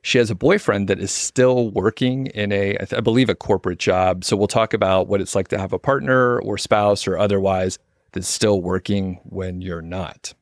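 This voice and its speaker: A man's voice: lowish voice, steady tone, normal speed, no echo, studio quality. A man hosting a podcast, talking in a friendly, informal way with lots of casual fillers. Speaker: podcast host. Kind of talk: casual interview chat.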